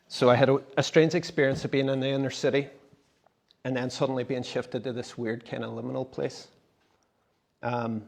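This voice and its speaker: A man, a writer giving a conversational talk at a symposium. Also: medium pitch. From Derry, Northern Ireland. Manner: conversational, explanatory, occasional restarts. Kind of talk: casual presentation. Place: symposium talk in a gallery space.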